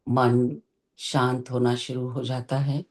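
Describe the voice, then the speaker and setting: A meditation teacher giving guided instructions gently and deliberately, in short phrases with long pauses. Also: medium pitch, little pitch movement. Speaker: meditation teacher leading a session.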